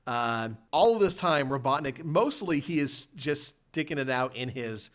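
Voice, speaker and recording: Male, mid-pitched voice, podcast host, casual film review, stop-start rambling delivery. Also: animated, measured pace, fairly bright tone. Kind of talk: casual film discussion, recapping and reviewing scenes.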